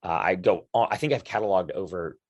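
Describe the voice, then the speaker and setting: A man, a career coach for lawyers, speaking in an enthusiastic, conversational podcast interview with quick lists and restarts. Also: deep voice, no echo, highs cut off. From United States.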